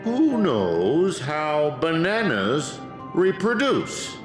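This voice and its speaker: A man's voice: on the high side, huge pitch swings, extremely slow, echoey room, over music, heavily acted. An actor playing a villainous research aide in a video game, a pompous man who lectures theatrically. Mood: smug, dramatic.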